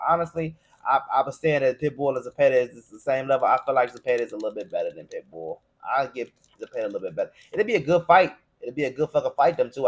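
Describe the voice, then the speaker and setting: A man's voice: on the high side, darkish tone. An American man giving an opinionated, casual boxing commentary, arguing his points in profane slang.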